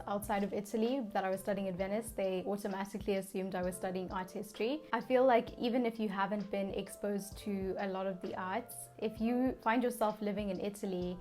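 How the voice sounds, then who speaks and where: A woman's voice: medium pitch, a little mellow. A young woman from Zimbabwe talking casually to camera in a vlog, chatty and conversational with lots of "you know" and "like". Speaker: vlogger.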